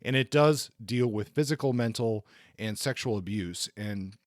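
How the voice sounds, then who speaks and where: A man hosting a podcast in a casual, conversational way, feeling out his phrases haltingly. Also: fairly low pitch, no room sound, clean studio sound. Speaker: podcast host. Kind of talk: casual podcast talk and book review.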